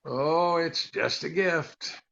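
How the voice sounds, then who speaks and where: An American man playing a long-suffering comedian husband in 1940s radio comedy style, dry and incredulous with a gravelly voice. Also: fairly high pitch, slow pace, fairly bright tone, dull audio, heavily acted. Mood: baffled.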